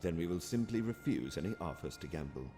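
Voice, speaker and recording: An actor playing a calm, calculating alien officer in an audio drama, speaking formally and precisely. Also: male voice, low voice, fast talker. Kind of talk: audio comic drama acting. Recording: long reverb, music behind.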